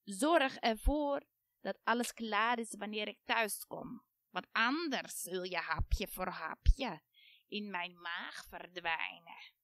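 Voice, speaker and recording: Woman putting on a slow hissing old witch. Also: mid-range voice, fairly bright tone, dry recording, clean studio sound. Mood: menacing. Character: ancient menacing witch, ravenous and cruel.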